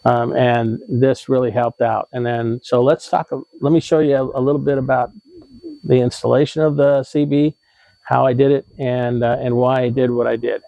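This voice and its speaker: A man hosting a how-to vlog, explaining things in a casual, conversational and slightly halting way. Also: mid-range voice, level tone, gravelly, mellow, no echo, poor audio. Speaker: vlog host giving RV tips.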